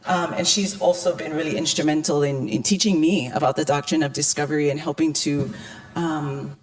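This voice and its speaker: Croaky woman giving a public talk. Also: low-pitched, fairly even intonation, a little fast, a little mellow. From Hawaii. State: croaky, hoarse voice. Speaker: invited panel speaker.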